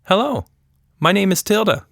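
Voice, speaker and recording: Young girl for a children's tale, male performer: high, earnest, inquisitive, kind. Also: sing-song, normal speed, creaky, fairly bright tone, dry recording, clean studio sound, full character voice. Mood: friendly, curious. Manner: earnest, questioning. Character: curious, kindly young girl, easily startled.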